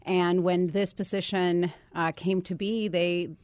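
A woman's voice: lowish voice, flat delivery, a little slow, a little mellow, dry recording, studio quality. A woman in her forties from Puerto Rico, answering conversationally as an interview guest. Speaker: interview guest, government office director.

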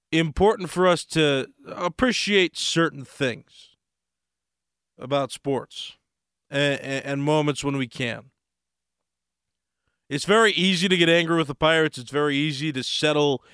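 An American man hosting a sports talk radio show in a casual, unhurried monologue, pausing mid-thought, his voice slightly hoarse. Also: on the high side, fairly bright tone, no room sound, clean studio sound.

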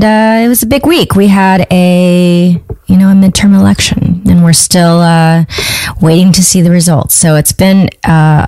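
A woman hosting a podcast from Los Angeles, chatting casually with a relaxed manner and many fillers. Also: fairly low pitch, no room sound. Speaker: podcast host.

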